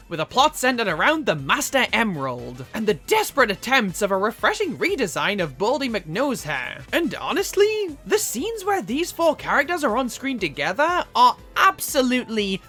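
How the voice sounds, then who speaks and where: A woman's voice: medium pitch, pushed and bright. A woman delivering scripted movie review commentary as a video host, with theatrical, exasperated emphasis and some swearing.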